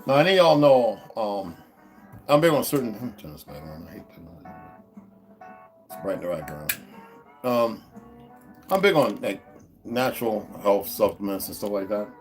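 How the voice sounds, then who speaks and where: A man's voice: big pitch swings, moderate pace, long pauses, soft background music. Rambling man vlogging at home. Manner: meandering, restarts, talks to his dogs in a high coaxing voice. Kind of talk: rambling casual vlog to viewers. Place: indoors at home.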